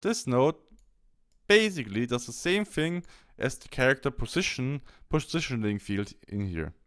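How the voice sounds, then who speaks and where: A man presenting a software tutorial, explaining step by step in a halting instructional walkthrough. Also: medium pitch, big pitch swings, clear, resonant tone. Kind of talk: instructional screen-capture walkthrough.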